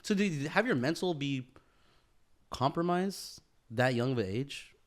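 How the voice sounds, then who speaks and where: A man's voice: medium pitch, expressive, measured pace. A man co-hosting a podcast in casual chat with a friend, talking in slangy bro speak with plenty of swearing. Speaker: podcast co-host.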